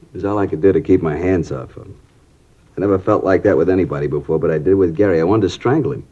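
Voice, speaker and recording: An actor playing a distraught middle-aged father who talks in a halting, desperate, strained way, in a 1950s radio drama. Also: low voice, warm tone. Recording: dull lo-fi 1950s radio broadcast.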